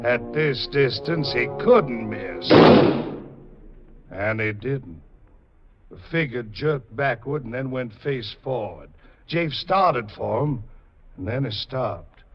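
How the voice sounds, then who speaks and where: A man's voice: mid-range voice, lively intonation, slow, music in the background. A man with an American accent giving intense, theatrical scripted narration in a 1940s radio drama style. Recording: dull old 1940s radio recording.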